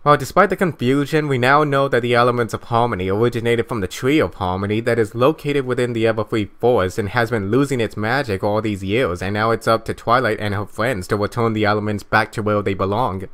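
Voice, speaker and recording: A man co-hosting a review with scripted-sounding conversational commentary and measured, wordy phrasing. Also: fairly low pitch, a bit bright. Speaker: co-host reviewer.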